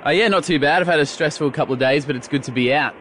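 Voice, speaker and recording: A man with an Australian accent hosting a podcast in casual, comedic and slightly halting chat. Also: on the high side, a bit bright, a little hiss or hum. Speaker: podcast and radio host.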